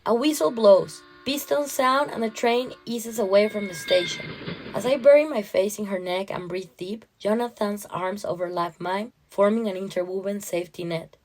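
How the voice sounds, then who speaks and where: A woman narrating a first-person literary story in an expressive, dramatic way. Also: mid-range voice, normal speed, a little hiss or hum, rough recording.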